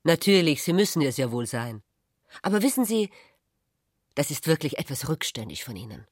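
A woman's voice: deep voice, sing-song, normal speed, breathy edge, dry recording, clean studio sound, heavily acted. A woman playing a spirited, teasing, headstrong wife in a literary radio play, direct and provoking in manner. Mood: defiant, annoyed.

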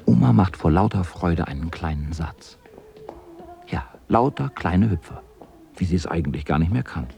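A man's voice: sits low, lively intonation, normal speed, vocal fry. A man narrating a scripted children's radio play as an observant storyteller.

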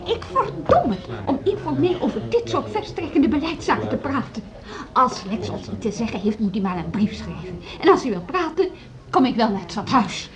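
An actress playing an indignant, stubborn music school leader in a radio drama, sharp-tongued and defiant. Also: female voice, sits high, very animated, moderate pace, crowd noise. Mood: angry, defiant. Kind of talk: Dutch radio drama acting.

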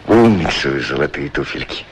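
An actor playing a lonely, tender, anxious war-veteran shoe shiner, speaking hesitantly and earnestly in a 1960s radio play. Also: male voice, extremely low pitch, very animated, fairly quick, a little hiss or hum. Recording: old radio recording with music behind. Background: prominent music.

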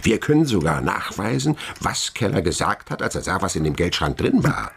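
An actor playing a shrewd, weary older police inspector in a German radio crime drama, gravelly, speaking in a dry, deliberate, lecturing way. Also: male voice, low-pitched, big pitch swings, slight background noise, exaggerated voice. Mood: knowing, matter-of-fact.

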